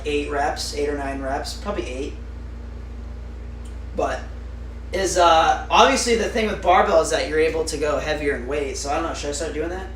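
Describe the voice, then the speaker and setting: Young guy rambling to his stream chat, kinda high voice, lots of ums, sounds far from the mic. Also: fairly bright tone. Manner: chatty, rambling with fillers, answering viewers. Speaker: livestreamer. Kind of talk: casual live-stream chat. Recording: roomy echo, off-mic, faint noise.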